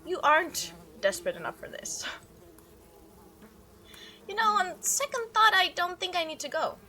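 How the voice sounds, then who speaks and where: A woman hosting a gaming video, giving playful, expressive commentary and reading narration aloud over game music. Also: very high-pitched, moderate pace, a little hiss or hum. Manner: expressive, animated.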